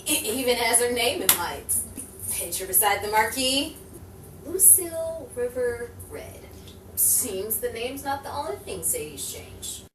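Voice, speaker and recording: A woman's voice: fairly high pitch, big pitch swings, measured pace. Woman acting as a wry noir girl reporter, echoey. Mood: wry, musing. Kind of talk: noir radio-play narration and dialogue. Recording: echoey, distant, noisy room recording.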